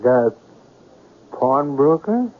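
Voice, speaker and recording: An actor playing a proud, dreamy writer in a mystery radio drama, theatrical and deliberate, lingering over his phrases. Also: medium pitch, big pitch swings, exaggerated voice. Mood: wry, hesitant. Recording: narrow tinny radio broadcast, faint hiss.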